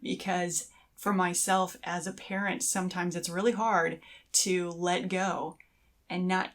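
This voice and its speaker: A woman with a somewhat low-pitched voice speaks as a podcast co-host. Her delivery is conversational and casual. She sounds reflective and encouraging as she talks. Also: level tone, measured pace.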